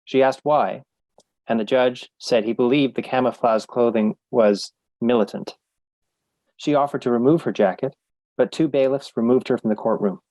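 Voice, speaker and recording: Male narrator, average pitch, measured serious read, dry studio-like sound. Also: warm tone, dry recording.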